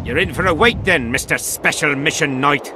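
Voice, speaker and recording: An actor playing a hostile, foul-mouthed army camp guard with a coarse, threatening bark in a video game. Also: male voice, on the high side, moderate pace, background noise, close to an everyday voice. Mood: hostile contempt. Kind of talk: dramatic video game dialogue.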